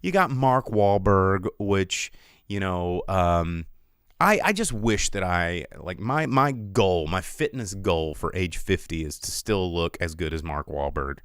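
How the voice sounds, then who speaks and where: A man in his forties gives loose, joking film commentary as host. His fairly low voice swings widely in pitch, rambling and sarcastic, with a dry, close sound. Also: animated, unhurried, fairly bright tone, smooth, no room sound. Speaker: movie review show host. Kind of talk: casual solo film commentary on a livestream.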